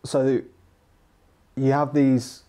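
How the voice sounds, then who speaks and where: A woman's voice: a bass voice, little pitch movement, very slow, mellow. A woman with a British accent hosting a casual, conversational interview and asking questions. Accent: British English. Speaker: interviewer, host.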